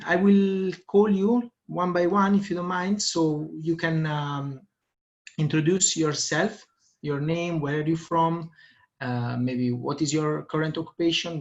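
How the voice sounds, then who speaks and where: A man moderating an online debate, explaining the schedule with many pauses and fillers. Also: fairly high pitch. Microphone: video call. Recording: lo-fi video call audio.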